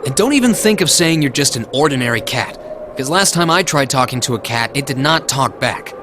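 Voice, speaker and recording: A man's voice: mid-range voice, lively intonation, fairly quick, background noise, lightly acted. A man playing an earnest, stubborn young male hero in a video game, speaking with open emotion. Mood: curious, wry.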